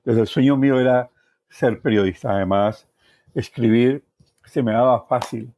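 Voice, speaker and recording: An older Colombian man telling personal stories in a reflective, expressive way as an interview guest. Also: medium pitch, normal speed, slight background noise. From Valle del Cauca, Colombia. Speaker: journalist, podcast guest. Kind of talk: personal storytelling.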